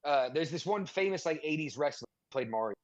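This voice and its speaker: A man's voice: fairly high pitch, expressive, a little fast, no echo. A man, chatting casually as a podcast co-host and often searching for words. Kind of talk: casual chat. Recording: dull, slightly muffled microphone.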